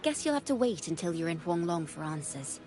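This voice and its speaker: An actress voicing a poised, knowing young woman adventurer who recounts events in measured, encouraging video game dialogue. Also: fairly low pitch, sing-song. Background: game music.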